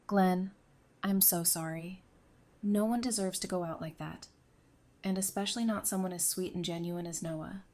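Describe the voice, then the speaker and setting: A woman acting a candid, steady, protective survivor in an audio drama. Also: fairly low pitch, normal speed, lightly acted. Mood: gentle, consoling.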